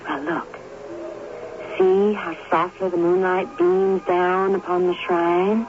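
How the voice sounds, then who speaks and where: An actress playing a serene, mystical young woman in a radio drama, speaking in a deliberate, entreating manner. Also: on the low side, flat delivery. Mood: serene, persuasive. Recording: muffled, noisy old radio recording.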